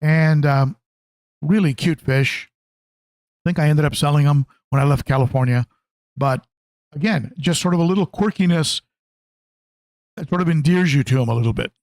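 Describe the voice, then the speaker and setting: A man hosts a relaxed, informal livestream in a slightly high voice. He speaks conversationally and a bit haltingly, doubling back on his phrases as he responds to viewers. The sound is very dry, with no room echo. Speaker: livestream host, aquarium hobbyist. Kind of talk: casual livestream chat, answering viewer comments.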